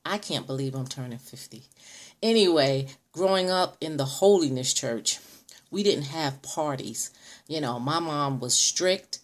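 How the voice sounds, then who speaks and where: Woman, late 40s, vlogger; low, chatty, self-correcting, preacherly stress. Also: expressive, unhurried. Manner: chatty, many ums and restarts. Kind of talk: casual direct-to-camera vlog.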